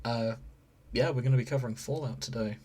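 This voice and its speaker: A man's voice: mid-range voice, steady tone, quick pace, warm tone. A man with a British accent hosting a podcast in a casual, joking style. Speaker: podcast host. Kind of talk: casual comic banter.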